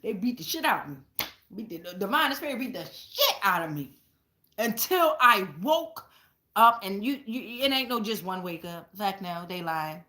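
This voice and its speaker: A woman's voice: medium pitch, animated, normal speed, bright, forceful tone. An American woman giving a casual, rambling tarot reading to viewers, blunt and slangy, with heavy cursing. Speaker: tarot card reader hosting her show. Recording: echoey room.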